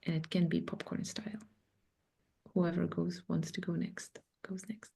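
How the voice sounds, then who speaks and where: A woman from Russia gently guiding an online sharing circle, talking softly with many pauses and ums. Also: on the low side, level tone, creaky, slightly rough. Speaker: circle facilitator. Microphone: video call.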